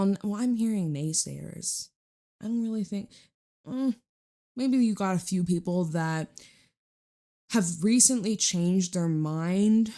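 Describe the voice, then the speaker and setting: A woman hosts a casual tarot reading in a somewhat low register. Her voice is raspy and hoarse, as if recovering from being lost. She talks informally and emphatically, circling back to repeat phrases. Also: dark tone. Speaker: tarot reader and channel host.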